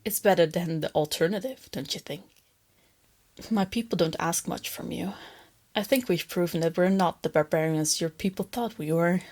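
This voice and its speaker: A woman voicing a female lamia captor in an ASMR roleplay, soft and breathy, teasing her captive with smug, possessive tenderness. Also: lowish voice, normal speed, natural voice. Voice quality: breathy, often dropping to a whisper. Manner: soft, taunting, condescending, intimate.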